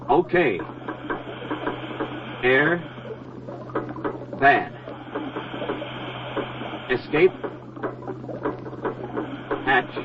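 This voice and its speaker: Male, 1940s radio drama: commanding Navy diver, terse telegraphic delivery, mid pitch, noisy old recording. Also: narrow, tinny audio. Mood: grim, urgent. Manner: clipped, terse telegraphic phrases.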